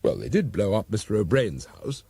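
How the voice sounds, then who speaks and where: A cautious, scheming assistant police commissioner, as a male narrator plays him talks in a lower, measured and deliberate way. His voice carries a husky grain, recorded close and dry. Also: huge pitch swings, mellow, no echo, heavily acted.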